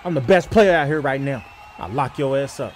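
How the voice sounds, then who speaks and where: A man's voice: medium pitch, animated, brisk, darkish tone, slight background noise. A man doing a profane comedic sports voiceover in slangy, emphatic, mock-serious speech.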